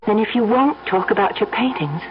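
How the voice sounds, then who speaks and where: A woman's voice: medium pitch, expressive, a little fast, close to an everyday voice. A woman acting an earnest, persistent, coaxing character in a radio drama. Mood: pleading, curious. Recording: muffled old radio, music behind.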